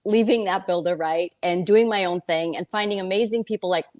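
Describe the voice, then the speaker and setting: Woman, 50s, interview guest; candid, colloquial storyteller with a mid-pitched everyday voice. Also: a little fast. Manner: animated storytelling, quotes herself and others, colloquial. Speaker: interview guest, real estate broker and speaker. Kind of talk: casual, candid interview chat.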